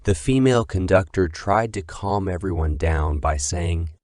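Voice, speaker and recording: Low deep guy narrating a scary story, scripted read, super dry sound. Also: normal speed, a little mellow, no room sound.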